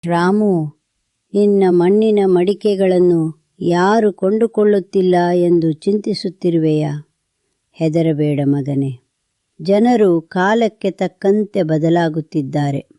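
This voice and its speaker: A woman voicing a gentle, elderly village mother in an animated moral story, speaking in a consoling, motherly way. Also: fairly low pitch, slow, dry recording, clean studio sound.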